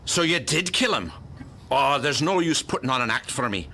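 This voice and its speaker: An actor playing a gruff, belligerent, sardonic homicide detective in a pulp radio drama. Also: male voice, mid-range voice, sing-song, moderate pace, pushed and bright, slight background noise. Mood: accusing. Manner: blunt, scowling, commanding.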